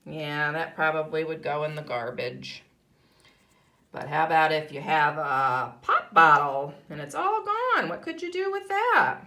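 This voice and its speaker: American kindergarten teacher, very lively woman's pitch. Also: unhurried. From United States. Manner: instructive, asks questions and answers them herself. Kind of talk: read-aloud lesson for young children.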